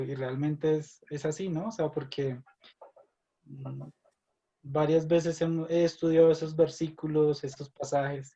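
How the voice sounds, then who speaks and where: Man, mellow dark voice, hesitant, many fillers, lo-fi call sound. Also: fairly high pitch. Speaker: Bible study participant. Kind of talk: casual Bible discussion.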